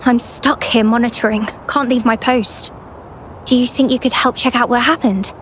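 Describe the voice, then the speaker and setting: High-voiced, conscientious young researcher acted by a woman. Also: fairly even intonation, moderate pace, a bit bright, slight background noise, natural voice. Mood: concerned, explaining. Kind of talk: video game character dialogue.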